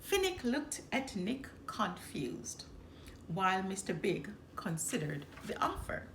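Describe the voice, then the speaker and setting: A woman reading a children's storybook aloud with expressive, deliberate phrasing. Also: medium pitch. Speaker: storybook reader. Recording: roomy echo, some background noise.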